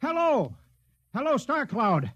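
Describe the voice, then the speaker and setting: Radio sergeant, 1950s drama. Earnest, high and animated, on a dull vintage recording. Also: male voice, slow, a little hiss or hum, full character voice. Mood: alarmed. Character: earnest young male radio sergeant, eager and alarmed. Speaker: radio operator.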